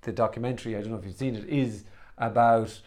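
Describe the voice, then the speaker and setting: A man's voice: mid-range voice, fairly even intonation, quick pace, a little mellow. A man interviewing a guest, asking questions hesitantly with many ums and uhs. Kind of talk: casual interview conversation.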